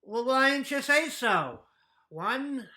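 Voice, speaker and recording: A woman voicing a gruff, impatient immigrant shopkeeper in his fifties, halting and snappish, in a dramatic book reading. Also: high-pitched, expressive, bright, forceful tone, pitched above the performer's own voice, full character voice. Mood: exasperated, impatient. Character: gruff impatient older male immigrant storekeeper.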